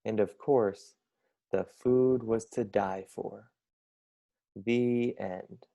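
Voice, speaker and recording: A man's voice: lowish voice, fairly even intonation, extremely slow, vocal fry, warm tone. A young man narrating a children's picture book as a scripted read-aloud. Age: young adult. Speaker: storybook reader, college student.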